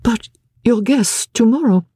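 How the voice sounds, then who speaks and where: A reader voicing an anxious young noblewoman who pleads with her husband in quick, nervous speech. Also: mid-range voice, mellow, slightly rough, no echo, studio quality, pitched above the performer's own voice. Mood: feverish, pleading. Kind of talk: audiobook character voice.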